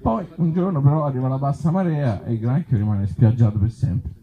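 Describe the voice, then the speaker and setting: A man's voice: mid-range voice, fairly quick, phone-quality sound. A man reading his own absurd short stories live, breaking off into conversational, self-deprecating asides to the audience. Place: live outdoor festival event before an audience.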